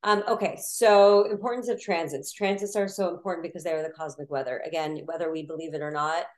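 Animated storytelling woman astrologer. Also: low-pitched. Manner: animated, quick storytelling with voiced asides. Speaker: astrologer guest. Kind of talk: casual podcast chat. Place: Florida.

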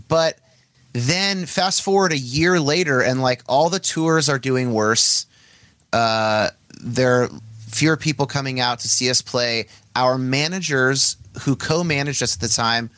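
Chatty band guy telling his band's story. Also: dry recording. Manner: animated, chatty, pitch jumps when quoting. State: has a head cold. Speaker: guest band member. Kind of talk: casual storytelling.

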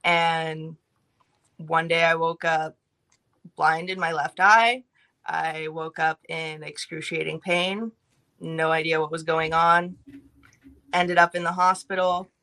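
Bright-toned young woman from California. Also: sits low, steady tone, takes it slowly, long pauses. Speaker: podcast guest. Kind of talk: telling her life story in an interview.

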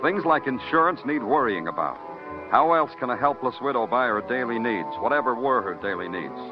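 A man's voice: mid-range voice, expressive, brisk, lightly acted. An American man playing a hard-nosed police detective who narrates moodily and questions curtly in a radio crime drama. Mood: brooding. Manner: clipped questioning, moody poetic narration. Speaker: narrator and lead actor. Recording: muffled vintage radio recording, loud music.

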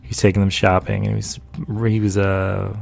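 A man's voice: low-pitched, completely flat delivery, fairly quick, gravelly, a little mellow. A man from North Carolina, a painter chatting casually to camera in a rambling, amused storytelling way. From North Carolina, USA. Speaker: painter and video maker. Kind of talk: casual talking-head chat.

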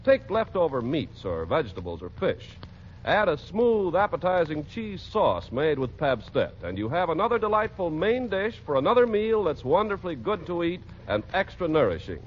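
A man's voice: fairly high pitch, big pitch swings, normal speed, a little hiss or hum. Swooping, emphatic vintage male radio announcer. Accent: American. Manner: polished, emphatic salesmanship. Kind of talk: 1940s radio announcing and scripted narration. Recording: muffled vintage 1940s radio broadcast. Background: music.

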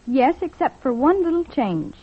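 A gentle, tactful young woman in an old radio drama. Her voice is fairly high and coaxing. The recording sounds thin and boxy, like an old broadcast. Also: very animated, normal speed, highs cut off. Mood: coy. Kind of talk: 1950s radio drama acting.

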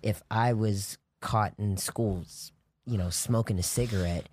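A man's voice: fairly low pitch, a little slow, a little mellow. A young man who grew up on a military base overseas, chatting casually and telling stories with lots of fillers and restarts. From United States, grew up on a military base in Japan. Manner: storytelling, many fillers and restarts. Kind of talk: casual chat.

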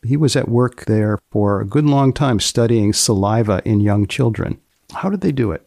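A male podcast host with a creaky, gravelly edge to his voice, recorded close and dry. He speaks at a medium pitch and poses his questions in a measured, deliberate manner. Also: expressive, a little mellow, no room sound. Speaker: podcast host and magazine editor. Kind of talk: hosting a science humour podcast, reading and asking questions.

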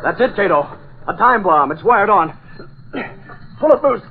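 Casting: intense adventure-serial hero, raised voice pitch, commanding, muffled vintage radio with clear background noise. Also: male voice, expressive, moderate pace. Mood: tense, commanding. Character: urgent heroic American crime fighter. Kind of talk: 1940s radio drama acting. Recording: dull noisy vintage radio recording.

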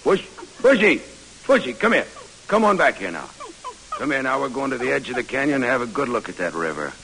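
An actor playing a brooding, affectionate American army major turned explorer in an old radio drama. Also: male voice, mid-range voice, sing-song, brisk, creaky. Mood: playful, coaxing. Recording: hissy old radio recording.

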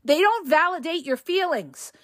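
A woman relationship coach, hoarse from a cold, giving direct, emphatic advice in a casual podcast monologue and often checking in with the listener. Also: very high-pitched, expressive, normal speed, a bit bright, no echo, clean studio sound.

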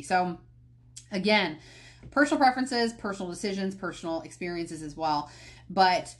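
A woman hosting a podcast on her own, talking candidly and rambling a little, often going off on tangents and correcting herself. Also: fairly low pitch. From United States. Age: adult, past college. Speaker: podcast host. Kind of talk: casual solo podcast talk.

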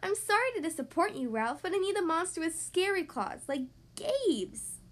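A woman plays a young storybook boy who is picky and skeptical. The voice is high and lively, with blunt, earnest questions and objections. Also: moderate pace, heavily acted. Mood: apologetic, blunt.

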